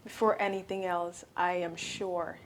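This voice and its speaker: Woman, young adult, Jamaican accent, earnest, heartfelt, lowish voice, faint background hum. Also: level tone, unhurried. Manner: earnest, heartfelt, sometimes laughing. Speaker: guest, accountant and business owner. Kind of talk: interview answers.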